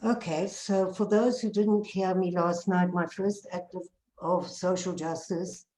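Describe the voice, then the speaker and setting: A woman's voice: on the low side, fairly even intonation, unhurried, dark tone, poor audio. An older woman with a South African accent, telling stories to a class over a video call in a conversational way. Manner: conversational, repeats phrases while telling anecdotes. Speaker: guest speaker. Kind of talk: storytelling talk to a class.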